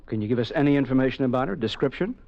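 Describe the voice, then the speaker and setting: An actor playing a blunt, skeptical homicide detective in a 1940s-style radio drama, curt and dry. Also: male voice, mid-range voice, animated, racing, creaky. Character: blunt veteran homicide cop. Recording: dull old radio recording.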